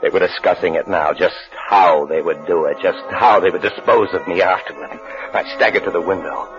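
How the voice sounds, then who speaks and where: An American man, an actor playing a paranoid husband in hiding, in halting, haunted 1950s radio suspense style. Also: low-pitched, natural voice. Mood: fearful brooding. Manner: haunted, halting, repeating phrases. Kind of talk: 1950s radio suspense acting, first-person narration and dialogue. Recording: muffled old radio broadcast with music.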